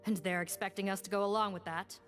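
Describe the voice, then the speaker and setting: A no-nonsense female prison investigator, voiced by a woman. She talks bluntly and plainly at a middle pitch, in game-dialogue style, over faint background music. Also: steady tone, fast, lightly acted. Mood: skeptical. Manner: blunt, matter-of-fact.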